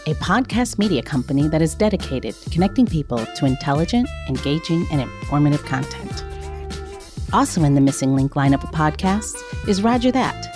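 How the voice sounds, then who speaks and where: A woman announcer reads a scripted promo with a lowish voice that rises and falls in a lively way. A faint guitar music bed plays behind her. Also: a little fast. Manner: smooth, promotional.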